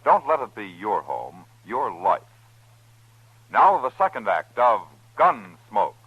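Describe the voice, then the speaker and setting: A man's voice: lowish voice, big pitch swings, slow, husky, dry recording. A man announcing on old-time radio, reading a script in an earnest, emphatic, formal way. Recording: dull old radio recording.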